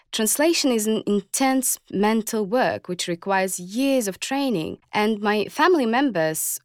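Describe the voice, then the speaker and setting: A woman whose first language is Kazakh, giving a scripted podcast narration as a language researcher in a measured, explanatory read with rhetorical questions. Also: mid-range voice, no echo, clean studio sound.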